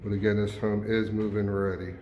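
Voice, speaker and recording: A man, a real estate agent, walking through and narrating a house tour in a relaxed, informal way. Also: on the low side, brisk. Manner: relaxed, informal, with small self-corrections. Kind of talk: casual walkthrough narration. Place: empty house, bare rooms. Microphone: handheld phone camera. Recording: echoey empty rooms, faint background noise.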